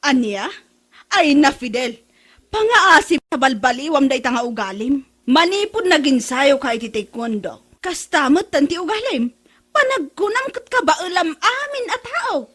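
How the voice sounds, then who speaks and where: High-pitched, fretful, scolding mother. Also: sing-song, normal speed, fairly bright tone. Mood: worried scolding. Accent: Ilocano. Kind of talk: Ilocano comedy radio drama acting.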